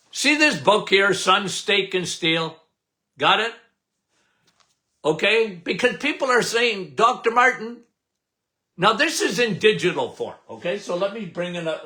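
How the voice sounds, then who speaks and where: Older canadian guy host, loud and punchy, bright voice, slow but bouncy pitch. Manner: loud, emphatic, repeats "okay?" often. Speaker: podcast host. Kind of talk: casual live talk.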